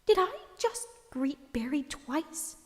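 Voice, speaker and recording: A man's voice: very high-pitched, animated, in a large hall, voice pushed up, heavily acted. A man doing a doting motherly baker mare. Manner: sweet, doting and slow.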